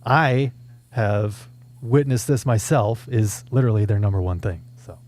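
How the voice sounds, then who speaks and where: A man's voice: on the low side, measured pace, a little mellow. A man hosting a casual, conversational chat in an informal manner. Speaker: show host.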